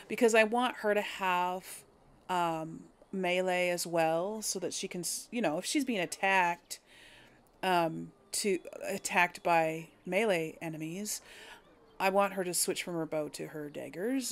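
A woman giving casual game commentary, thinking aloud in a hesitant, musing way as she hosts. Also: fairly low pitch. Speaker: gaming video host.

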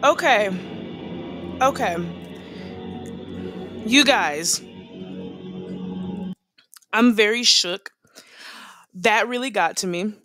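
A woman hosting a casual reaction video, expressive and full of exclamations, recorded indoors at home. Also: mid-range voice, drawn-out words, long pauses, over music.

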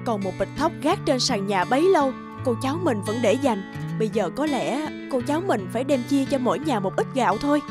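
A woman voicing a gentle, selfless middle-aged peasant aunt in a cartoon, soothing and maternal. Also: high-pitched, expressive, fairly quick. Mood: urging, caring. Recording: music behind the voice.

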